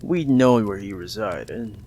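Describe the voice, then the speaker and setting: A man voicing a smug, possessive mafia boss in an audio roleplay, teasing and condescending, with a gravelly drawl and long pauses. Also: animated, a little mellow, a little hiss or hum. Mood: smug explaining. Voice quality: low, gravelly, drawling delivery with drawn-out pauses. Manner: teasing, condescending, calls listener darling.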